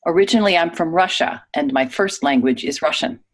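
A woman reading a guest's typed interview answers aloud in a clear, even manner. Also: on the low side, fairly quick.

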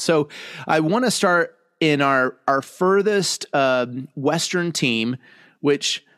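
Man, podcast host, chatty, joking, rambling asides, mid pitch, dry sound. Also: no echo. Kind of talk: casual podcast chat.

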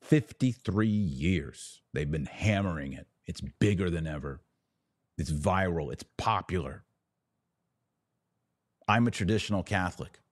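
American guy podcaster, low voice, preachy and emphatic, repeats himself for effect, dry close sound. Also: expressive, no room sound. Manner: emphatic, preachy, with deliberate pauses and repetition. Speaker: podcast host. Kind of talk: religious commentary, speaking off the cuff to listeners.

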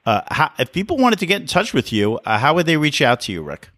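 A man's voice: fairly high pitch, very animated, fast talker, a bit bright, no echo. A man hosting a real estate podcast interview, talking in an upbeat, enthusiastic way. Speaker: podcast host.

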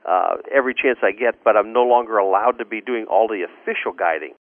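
A man's voice: on the low side, a little fast, dry recording. Older man, dull band-limited interview audio. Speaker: guest author and former tour guide. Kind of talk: casual radio interview.

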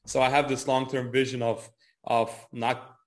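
Man, early twenties, medium pitch, casual interviewee, repetitive phrasing, "kinda" and "you know". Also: flat delivery, normal speed. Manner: repeats words, frequent "kinda" and "you know". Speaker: trader and trading educator being interviewed.

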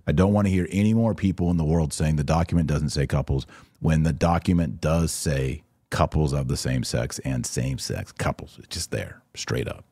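A man's voice: extremely low pitch, normal speed, warm tone, husky. A man hosting a religious podcast, giving opinionated, emphatic commentary and answering viewer comments, often repeating phrases to drive a point home.

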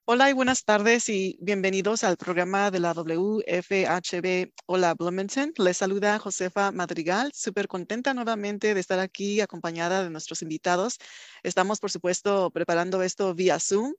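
A woman's voice: medium pitch, normal speed, dry recording. A woman hosting a community radio interview, effusive and congratulatory in manner. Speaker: radio host. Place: recorded over a video call.

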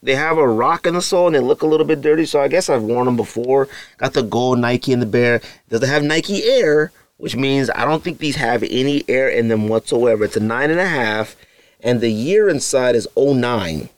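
A man's voice: medium pitch, animated, normal speed, dry recording. A middle-aged man hosting a podcast, casually rambling with lots of ums and you-knows, self-deprecating. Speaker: podcast host. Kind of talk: casual solo sneaker show-and-tell.

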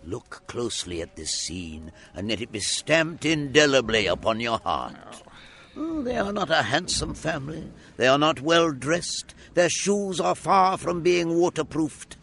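A solemn, commanding spirit in a radio drama. The voice is gravelly and bright-edged, moving slowly through grave pronouncements with wide swings of pitch. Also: male voice, sing-song, exaggerated voice. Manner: grave, deliberate, declamatory. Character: solemn, stern, commanding Christmas spirit, mocking and moralizing. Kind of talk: family radio drama acting.

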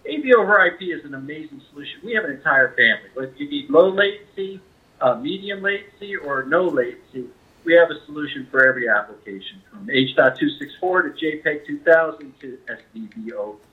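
A man speaking as a company representative, giving a conversational product pitch as an interview guest over a phone line. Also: lively intonation, a little fast. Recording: tinny narrow-band phone line.

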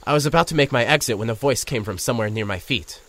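An actor playing an awkward, wry radio host turned investigator, narrating an audio drama in the first person with some hesitancy. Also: male voice, mid-range voice, fast, a bit bright, lightly acted. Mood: wry, storytelling.

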